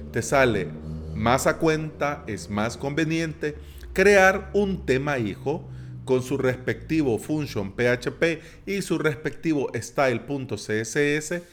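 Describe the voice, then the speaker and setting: A man hosting a solo tutorial podcast, explaining terms in a chatty, playful way with jokey asides. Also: mid-range voice. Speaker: podcast host.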